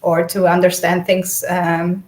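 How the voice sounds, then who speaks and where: A woman's voice: fairly low pitch, monotone, unhurried, roomy sound. A young woman, a native speaker of Esperanto and Hungarian, chatting as an interview guest, laughing often and restarting her sentences. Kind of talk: casual conversation.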